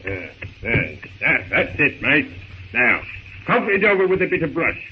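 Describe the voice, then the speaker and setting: Bullying pirate boss, old radio. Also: male voice, medium pitch, sing-song, measured pace. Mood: brisk, scheming. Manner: domineering, rough slangy speech. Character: bullying pirate leader, gruff and commanding crook. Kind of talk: 1930s radio acting. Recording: dull, faintly noisy 1930s radio recording.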